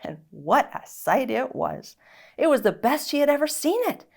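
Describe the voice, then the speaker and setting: A woman reading a children's story aloud in an expressive, dramatic narrating style. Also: high voice, moderate pace, vocal fry. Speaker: story reader.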